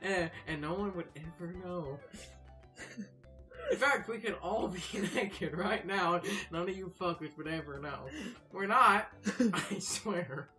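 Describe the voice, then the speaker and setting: A woman giving casual, joking gaming commentary in a halting, tongue-in-cheek, sweary way. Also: lowish voice, pushed and bright, faint music behind. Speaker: let's play commentator.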